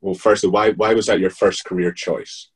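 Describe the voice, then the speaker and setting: Deep, husky man off-mic. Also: fairly even intonation, distant mic. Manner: brief listening replies and measured questions. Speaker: podcast host. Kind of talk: interview questions.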